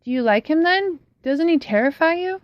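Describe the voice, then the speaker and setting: A woman's voice: extremely high pitch, lively intonation, moderate pace, dry recording, voice pushed up. Serious little boy full of questions, read by a woman; dry, muffled sound. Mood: curious. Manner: serious, wondering. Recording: slightly dull, dry recording.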